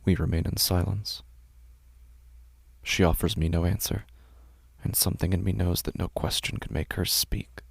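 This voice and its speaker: A man narrating a first-person horror story in a measured, tense way. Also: deep voice, steady tone, creaky, breathy edge, no room sound.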